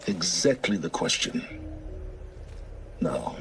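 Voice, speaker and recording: Deep, haughty male scholar, richly inflected. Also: sing-song, takes it slowly, gravelly, over music, heavily acted. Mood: impatient. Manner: condescending, articulate. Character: arrogant, mysterious male scholar, impatient and haughty. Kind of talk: video game cutscene acting.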